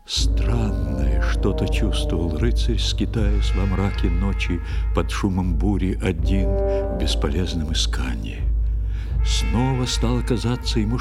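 A man narrating an audio play in scripted verse, with a theatrical, expressive literary delivery. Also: sits low.

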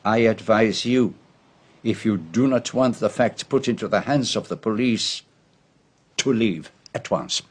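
An actor playing a shrewd, courtly Belgian detective in a radio drama, speaking precisely and formally with a Belgian French accent. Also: male voice, lowish voice, lively intonation, unhurried, slightly rough. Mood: accusing, stern.

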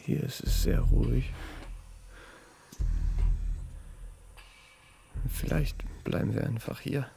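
An actor playing an anxious, apologetic expectant father, halting and intimate in naturalistic radio play dialogue. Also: medium pitch, steady tone, creaky, dark tone, slight background noise. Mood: subdued.

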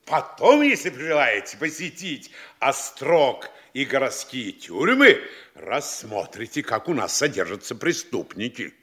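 An actor playing a sly, fawning middle-aged provincial mayor in a classic Russian stage comedy, gushing obsequiously and muttering scheming asides. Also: male voice, mid-range voice, big pitch swings, a little slow, few pauses, a bit bright. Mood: courteous, obliging.

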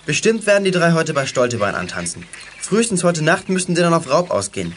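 A man's voice: on the high side, very animated, fast, a little hiss or hum. A male actor playing a clever, confident, teasing boy detective in a German children's audio drama, speaking decisively. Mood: planning.

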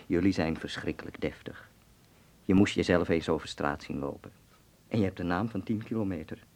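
Een acteur speelt een wereldwijze, plagerige en bazige vriend in een hoorspel, met veel nadruk. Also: male voice, sits low, fairly quick, darkish tone. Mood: insistent, scolding. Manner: emphatic, teasing, commanding. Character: worldly, teasing, bossy Dutch friend in 1930s Berlin. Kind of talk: radio drama acting.

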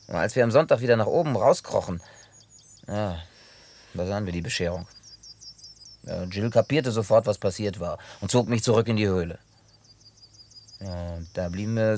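A man acting in a German radio play as a gruff, hot-headed but harmless drifter who tells things in a colloquial, grumbling way. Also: low-pitched, a little mellow, slight background noise.

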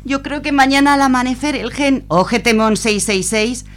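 A melodramatic, spiritual hippie with a fairly high voice, played by a woman in a comic radio serial. There is faint noise and hum on the recording. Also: steady tone, normal speed, fairly bright tone, natural voice. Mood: ominous. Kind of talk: comedic radio serial acting.

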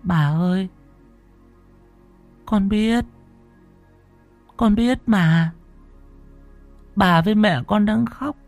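A man's voice: high voice, very slow, vocal fry, pauses often, no echo, soft background music, voice pushed up, heavily acted. A man voicing a frail, sick little boy who speaks in a faint, pleading whisper. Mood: tender.

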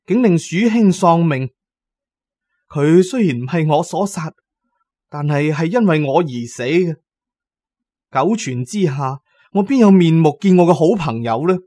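A man's voice: on the high side, warm tone, no echo, studio quality, voice pushed up. A man voicing a destitute, sorrowful opium addict lamenting with great loyalty in a Cantonese audio drama. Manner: plaintive, sorrowful, emphatic. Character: destitute male opium addict, tearful, lamenting, loyal to his dead friend.

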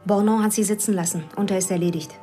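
An actress playing a cool, calculating police investigator who talks tersely, with whispered inner asides. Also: female voice, medium pitch, fairly even intonation, quick pace, warm tone, soft background music, lightly acted. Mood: cool, pressing. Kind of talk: radio play acting.

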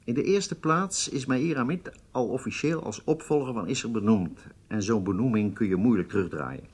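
A sceptical intelligence man in a radio play. His husky, mid-pitched voice swings expressively as he reasons firmly and emphatically. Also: lively intonation, moderate pace. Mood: resigned. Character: pessimistic, firm male intelligence colleague. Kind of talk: radio drama acting.

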